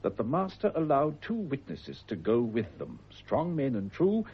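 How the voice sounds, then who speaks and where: An actor playing a courteous, ceremonial Crown official with a British accent in a radio drama, speaking in a formal, measured way. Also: male voice, medium pitch, wildly swooping pitch, breathy edge, lo-fi sound, heavily acted. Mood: formal, solemn.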